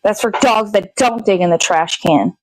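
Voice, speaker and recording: A woman's voice: medium pitch, moderate pace, dry recording, poor audio. A woman hosting a casual puppet show livestream, chatting in an informal, rambling way.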